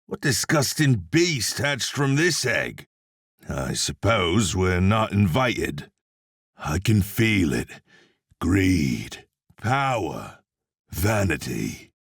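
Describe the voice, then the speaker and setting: A man playing a grim, sardonic cowboy blacksmith demon hunter in a video game, a gravelly husky voice and a dry, laconic manner. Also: sits low, sing-song, drawn-out words, no room sound, heavily acted. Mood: menacing, resolute.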